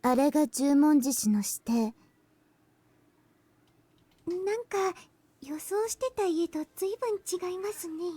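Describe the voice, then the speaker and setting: A woman voicing a polite, excitable young woman in an anime-style game, speaking in an animated way. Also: extremely high pitch, moderate pace, pauses often. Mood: polite wonder.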